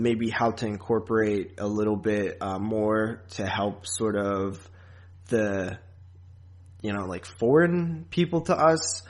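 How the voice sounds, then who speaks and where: A man hosting a podcast in a casual, rambling, halting chat style with frequent asides. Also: lowish voice. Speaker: podcast host.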